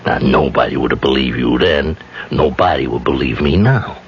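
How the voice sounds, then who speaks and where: A man's voice: rumbling low, moderate pace. An actor playing a sly, blunt jailed hitman with slangy, taunting speech in a radio drama. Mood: menacing. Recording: muffled, noisy vintage radio recording.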